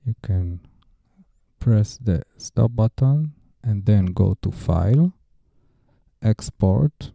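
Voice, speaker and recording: A man narrating a DIY tutorial in a plain, measured, step-by-step way, like a scripted instructional voice-over. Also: lowish voice, animated, vocal fry, warm tone, dry recording, studio quality.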